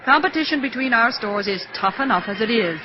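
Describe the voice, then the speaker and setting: A woman's voice: on the high side, lively intonation, brisk, background noise, close to an everyday voice. Actress as a cool, practical woman, muffled radio drama. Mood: dry, amused. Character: cool, practical, skeptical working mother and store executive. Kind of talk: 1940s radio acting. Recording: muffled 1940s radio recording with music.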